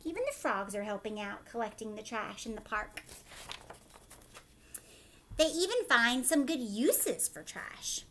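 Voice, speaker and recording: Female teacher, higher-pitched voice, children's read-aloud with explanatory asides, instructive and inclusive of young listeners. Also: lively intonation, unhurried, long pauses, pushed and bright, slight background noise. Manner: instructive, checks in with listeners using simple questions. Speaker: teacher reading a picture book aloud to children.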